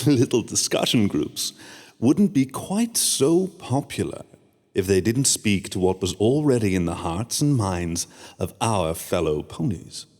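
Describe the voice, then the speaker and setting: A sly pony upstart who flatters and schemes in a smooth, lowish voice, as a man acts him out. He speaks with a long hall reverb, like a radio play. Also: full character voice. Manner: smooth, fawning and sly, with soft chuckles. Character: scheming, oily male pony upstart courtier. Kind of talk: fan-made radio drama.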